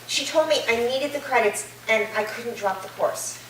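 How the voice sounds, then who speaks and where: A woman's voice: fairly high pitch, normal speed, fairly bright tone, close to an everyday voice. A woman playing an uneasy, serious and skeptical college student with a plain, wary delivery in a staged reading. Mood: anxious. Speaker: actor in a staged play reading. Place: live staged reading in a reverberant room. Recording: echoey room, distant mic, faint noise.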